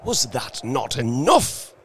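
A man's voice: deep voice, drawn-out words, dark tone, husky, exaggerated voice. A man voicing an aghast, fearful old husband in a story reading, with unsteady, faltering delivery. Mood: aghast, fierce.

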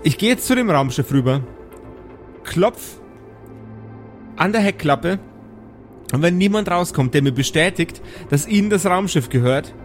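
A man's voice: fairly high pitch, animated, measured pace, long pauses, soft background music, pitched above the performer's own voice. A man voicing a panicky, easily horrified woman who stammers and exclaims in an improvised tabletop audio play. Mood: indignant.

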